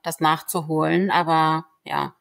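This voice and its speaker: A young woman whose first language is Turkish, a lawyer answering interview questions in a relaxed, chatty way. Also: low voice, unhurried, vocal fry. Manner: relaxed, chatty, full of fillers like "genau". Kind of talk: casual conversation.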